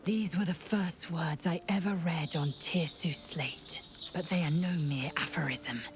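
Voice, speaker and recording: A woman playing a proud, fierce alien warrior in a video game, solemn and formal, with a breathy, hushed edge. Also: deep voice, unhurried, music in the background. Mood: solemn, bitter. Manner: solemn, clipped, formal declarations. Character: proud, fierce female alien githyanki warrior, shaken in faith. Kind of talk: dramatic video game acting.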